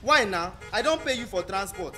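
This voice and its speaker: Man playing a high-pitched Nigerian student fresher. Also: lively intonation, normal speed, sharp tone, exaggerated voice. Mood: indignant. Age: young adult. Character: male university fresher, hard-up, complaining, polite to seniors. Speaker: actor. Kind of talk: radio drama acting in Nigerian Pidgin. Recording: music under the voice.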